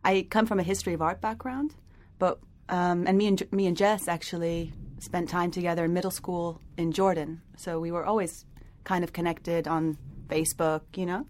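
A woman's voice: fairly low pitch, fairly even intonation, moderate pace, darkish tone. A young woman answering interview questions about an art project in a loose, hedging way. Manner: loose, hedging talk with many "kind of" and "like".

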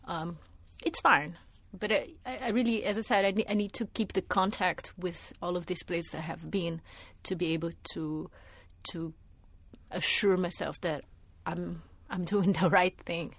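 A woman's voice: fairly low pitch, unhurried, breathy edge, no room sound. Thoughtful Brazilian-born woman, dry sound. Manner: thoughtful, many restarts and fillers. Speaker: media artist and former art teacher. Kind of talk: interview answers.

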